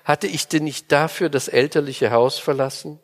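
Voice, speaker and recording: A man's voice: on the high side, fairly even intonation, normal speed, long reverb tail, natural voice. A man reading the lines of a bitter, brooding exiled male poet in an audiobook.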